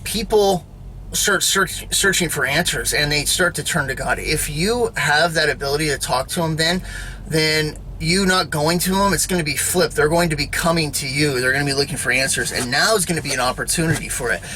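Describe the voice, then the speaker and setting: A man's voice: fairly high pitch, fairly quick, bright, forceful tone, slight background noise, poor audio. A man giving a casual, rambling spoken sermon, often checking in with "all right?" and "you know".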